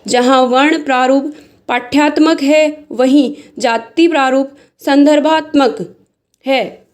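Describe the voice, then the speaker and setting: High-pitched woman reading slow dictation. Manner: measured, deliberate, speaks punctuation marks aloud. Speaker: shorthand dictation instructor.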